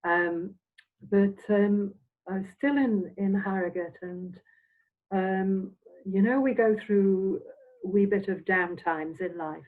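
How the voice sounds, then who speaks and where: An older woman from Scotland, a semi-retired minister, reminiscing in a halting, meandering, reflective way as an interview guest. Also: mid-range voice, steady tone. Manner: halting, meandering delivery with trailing phrases. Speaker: interviewed Spiritualist minister and medium. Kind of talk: reflective, anecdotal chat. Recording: dull, phone-like audio.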